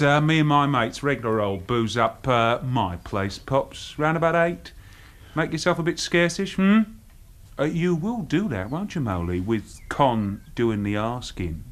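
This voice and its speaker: A male actor with a British accent playing a sneering, bullying lout who taunts in slangy tag questions in a radio drama. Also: mid-range voice. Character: sneering, bullying, hard-drinking male lout, cruelly mocking. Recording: noisy old radio drama recording.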